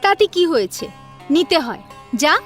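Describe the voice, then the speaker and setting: With music behind her, a modest but spirited young woman, acted by a female story reader, scolds affectionately. Her voice is high and swings expressively through teasing lines in an audio-story style. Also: very animated, measured pace, fairly bright tone, heavily acted. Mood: mock-scolding. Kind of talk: Bengali audio story acting.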